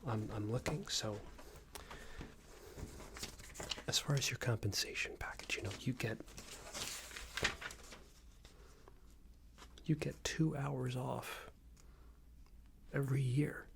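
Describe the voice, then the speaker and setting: A man playing a smug, unreasonable boss in an ASMR roleplay, scolding an employee in a soft breathy whisper with a hesitant, condescending manner. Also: measured pace, long pauses, slight background noise. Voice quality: breathy soft whisper with low creaky murmur. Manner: hesitant, condescending, meandering reprimand.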